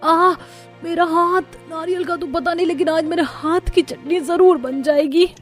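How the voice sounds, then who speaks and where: A woman voicing a young, put-upon, complaining daughter-in-law in a Hindi animated family story. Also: very high voice, moderate pace, faint music behind. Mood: pained.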